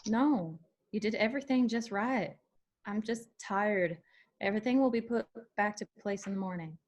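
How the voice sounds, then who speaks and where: A woman's voice: medium pitch, moderate pace, close to an everyday voice. A woman playing a young female country singer, guarded and weary but playfully teasing, in a screenplay table read. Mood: tired, guarded.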